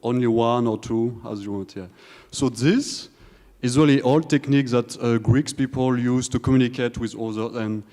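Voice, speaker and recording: Young French-accented man presenting at a conference; casual, joking, full of fillers, mid-pitched voice in a hall with long reverb. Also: normal speed, mellow. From France. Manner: joking, hesitant, many fillers and restarts. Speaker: conference speaker. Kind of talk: live conference talk. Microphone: handheld or stage microphone.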